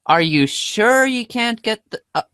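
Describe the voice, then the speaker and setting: Man voicing an excitable, high-pitched anxious teen boy. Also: measured pace, smooth, dry recording, clean studio sound, heavily acted. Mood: nervous, pleading. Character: excitable, anxious teenage boy gamer, whiny and earnest.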